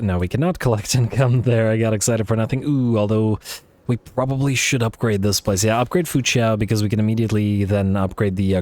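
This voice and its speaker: A man thinking aloud over a strategy game, a little hoarse from losing his voice, with frequent fillers and restarts. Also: on the low side, darkish tone. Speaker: let's play host. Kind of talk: casual gameplay commentary.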